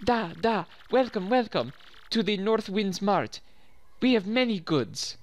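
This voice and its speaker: A man's voice: high voice, animated, a little slow, voice pushed up. A man voicing an eager male bomb-creature shopkeeper with a Russian accent and clipped, broken phrasing.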